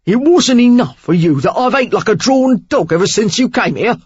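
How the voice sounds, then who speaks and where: A woman voicing a rough, intense man with a Cockney accent, speaking hoarsely and commandingly. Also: mid-range voice, expressive, normal speed, a little mellow, no echo, lo-fi sound, pitched above the performer's own voice. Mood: angry. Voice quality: husky, hoarse.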